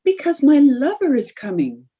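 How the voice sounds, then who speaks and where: A woman's voice: sits high, wildly swooping pitch, normal speed, warm tone. A woman playing a scatterbrained, vain housewife and eager would-be actress in a 1940s-style radio comedy, with exaggerated comic reactions. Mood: earnest, hammy.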